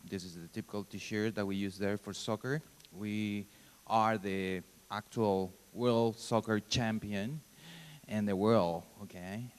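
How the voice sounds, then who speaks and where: A man in his thirties from Argentina, a native Spanish speaker with an Argentine accent, giving an informal mission talk at church in halting, simple English. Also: lowish voice, steady tone, clean tone. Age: 30s. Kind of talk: church presentation. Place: church sanctuary. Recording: long hall reverb.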